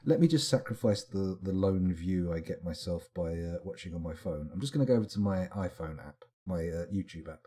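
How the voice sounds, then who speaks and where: A tired man, chatting casually on a late-night game stream. His voice is low and mellow, and his pitch swings around a lot as he rambles and reads out the chat. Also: animated, a little fast, hardly any pauses. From England.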